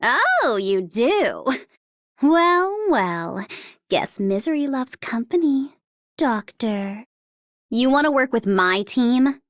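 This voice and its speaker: A woman's voice: high-pitched, sing-song, slow pace, dry recording, studio quality, heavily acted. A woman voicing a cocky, vengeful, mischievous mercenary captain in a video game, with a taunting, sardonic and theatrical delivery. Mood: sardonic, bitter. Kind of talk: English video game character voice lines.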